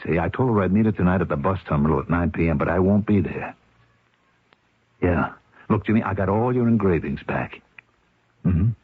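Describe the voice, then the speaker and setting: A man's voice: on the low side, breathy edge, dry recording. An actor playing a slick, bossy small-time con man with clipped, streetwise talk in a radio drama. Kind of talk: 1970s radio drama acting. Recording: tinny, band-limited old radio recording.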